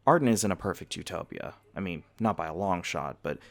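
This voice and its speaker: A man's voice: low-pitched, lively intonation, brisk, creaky. A man playing a friendly, teasing vampire university student and tour guide in a casual, conversational ASMR roleplay. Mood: easygoing, informative. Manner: casual, conversational, gently joking. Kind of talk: ASMR roleplay acting, casual chat to listener.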